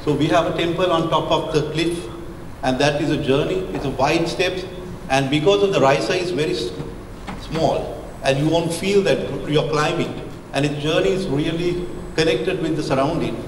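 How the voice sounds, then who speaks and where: A man's voice: a little high, moderate pace. Sri Lankan man lecturing in echoey hall. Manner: hesitant, searching for words, frequent fillers. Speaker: architect giving a lecture. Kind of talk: presentation with slides. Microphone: handheld mic. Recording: echoey hall with background hum.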